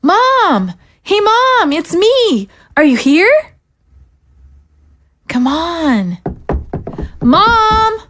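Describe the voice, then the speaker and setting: Woman acting as young daughter, audio drama, high voice, unhurried, hesitant, worried. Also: big pitch swings, fairly bright tone, slight background noise, full character voice. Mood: panicked, grieving. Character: Samantha, worried young daughter living in a rough zombie-era settlement.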